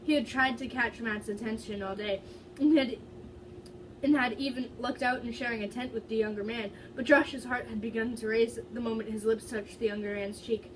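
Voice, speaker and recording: A woman's voice: a little high, fairly even intonation, moderate pace. A young adult woman doing an informal, dramatic amateur story reading, stumbling over the odd word. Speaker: reader of fan fiction. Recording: distant mic with loud background noise.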